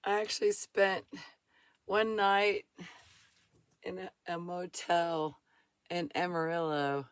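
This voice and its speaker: A woman in her fifties, hoarse from a cold, chatting casually in a rambling, folksy vlog from her van. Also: on the low side, dry recording. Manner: folksy, rambling, laughs often, says y'all. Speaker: van-dwelling vlogger.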